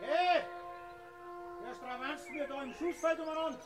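A gruff Bavarian huntsman. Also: extremely high pitch, normal speed, over music. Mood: irritated. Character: gruff ducal hunter, brusque and proud. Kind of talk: classic radio play acting.

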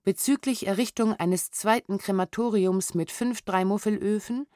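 A woman's voice: medium pitch, dark tone, dry recording, clean studio sound. A woman reading historical documents as a narrator, in a formal, sober and precisely enunciated documentary style.

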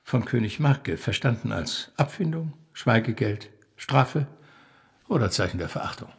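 Male narrator for literary essay: slow, measured, creaky low voice. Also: animated. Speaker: narrator reading literary prose.

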